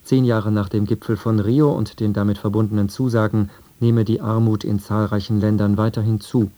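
A man reading a scripted radio news and weather bulletin in a sober, measured manner. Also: lowish voice, flat delivery, mellow. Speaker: radio newsreader.

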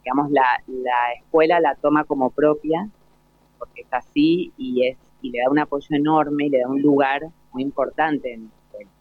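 Argentine man, mid-pitched voice, explanatory interviewee, rephrases as he talks, thin telephone-like radio sound. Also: steady tone, normal speed. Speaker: orchestra and choir programme coordinator. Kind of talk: spontaneous interview answers.

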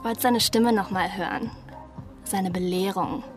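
An actress playing a reckless, foul-mouthed seventeen-year-old daughter in a radio drama, with crude, taunting, slangy delivery. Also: female voice, medium pitch, fairly even intonation, unhurried, soft background music, close to an everyday voice. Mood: defiant.

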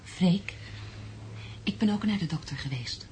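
An actress playing a dreamy, affectionate young woman in love in a radio drama, speaking softly and drowsily. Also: on the low side, expressive, unhurried. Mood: loving. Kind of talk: Dutch radio drama acting. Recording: noisy radio play recording.

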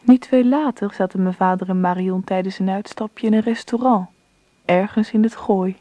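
An actress playing a daughter who narrates her father's story in a radio drama, measured and reflective. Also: mid-range voice, fairly even intonation, warm tone, close to an everyday voice. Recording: dry, dull lo-fi recording.

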